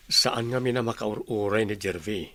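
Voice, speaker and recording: A man's voice: on the low side, moderate pace, a bit bright, no room sound. A man with an Ilocano Filipino accent playing a practical husband and father in a radio drama. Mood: matter-of-fact. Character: practical husband and father discussing family travel.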